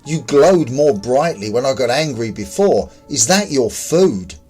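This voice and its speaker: A man's voice: mid-range voice, lively intonation, unhurried, a little mellow, soft background music, close to an everyday voice. A man voicing a defiant human man in an audio story, earnest and accusing. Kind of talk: audiobook character dialogue.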